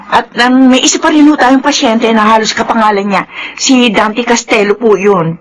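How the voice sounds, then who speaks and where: A woman's voice: on the high side, moderate pace, natural voice. A woman playing a deferential, flustered clinic secretary in a radio drama, apologetic and eager. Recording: noisy radio drama track.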